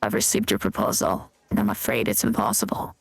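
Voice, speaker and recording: Man playing gravelly, weary woman bureaucrat Lula. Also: on the low side, little pitch movement, a little fast, dry recording, soft background music. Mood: regretful, formal.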